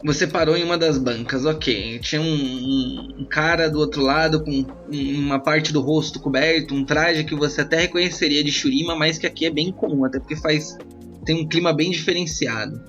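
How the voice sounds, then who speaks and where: A man acting as game master, improvising roleplay narration in an informal, conversational, halting way. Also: on the high side, a bit bright. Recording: slightly muffled, band-limited audio with music. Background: background music.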